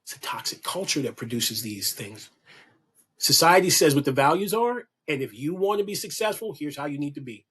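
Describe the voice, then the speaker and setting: A man's voice: a little high, lively intonation, a little fast, fairly bright tone, breathy edge. A man speaking as a podcast guest, giving an impassioned, preachy monologue that repeats key lines for emphasis. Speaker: guest on a podcast, kink educator.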